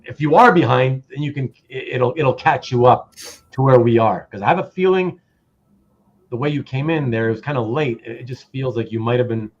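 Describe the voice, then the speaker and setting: A man's voice: medium pitch, fairly quick, highs cut off. A man hosting a casual livestream about comic art, reading out chat comments and rambling with repeated words and self-interruptions.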